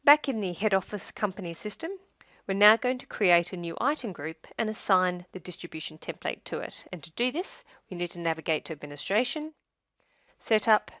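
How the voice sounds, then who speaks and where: A woman narrating a scripted software tutorial as a demonstrator, in a measured, step-by-step instructional way. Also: fairly low pitch, no room sound, clean studio sound.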